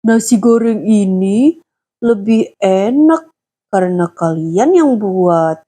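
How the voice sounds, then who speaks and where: A woman voicing a gentle, patient father who explains things slowly to his young daughters in a children's story. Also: medium pitch. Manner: slow, soft, explaining. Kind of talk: storybook dialogue.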